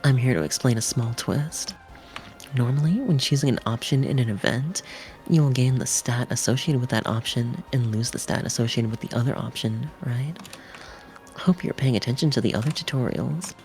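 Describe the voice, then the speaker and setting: A woman's voice: rumbling low, moderate pace, darkish tone. A woman reading video game narration and tutorial text aloud as a stream co-host. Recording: music behind the voice.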